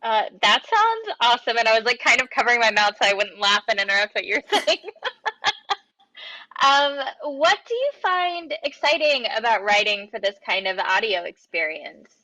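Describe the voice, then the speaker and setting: Female host, very bright high voice, enthusiastic and friendly in casual online interviews. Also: very animated, normal speed, dry recording, poor audio.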